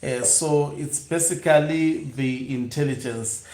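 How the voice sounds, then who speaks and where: A man hosting an online news broadcast, reading aloud and commenting live in a halting, hesitant way with drawn-out pauses. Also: fairly high pitch, darkish tone. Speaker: online news channel host. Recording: echoey room.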